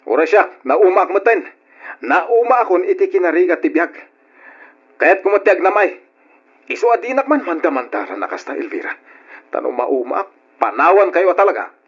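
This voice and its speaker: A man's voice: high voice, animated, moderate pace, long pauses, breathy edge, highs cut off, heavily acted. A man with an Ilocano accent playing a lazy, sullen, fed-up husband in an old radio drama.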